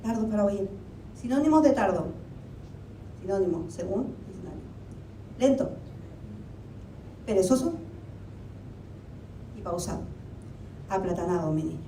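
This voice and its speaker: A woman's voice: lively intonation, unhurried, long pauses, mellow. Woman preaching in an echoey hall. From Argentina. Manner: conversational preaching with rhetorical questions. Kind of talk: sermon. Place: church hall. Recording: echoey hall, mic at a distance, faint rumble.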